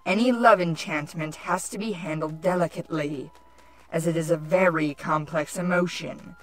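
A woman voicing a haughty changeling queen villainess with a scolding, deliberate manner, in a cartoon fan-fiction audio drama. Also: deep voice, natural voice, rough recording. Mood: haughty lecturing. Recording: music under the voice.

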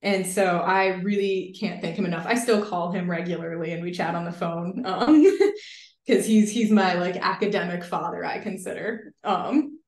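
A young woman in her twenties, a graduate student guest, answering interview questions in an earnest, lively way with many fillers over a video call. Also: fairly low pitch, moderate pace. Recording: roomy video call audio.

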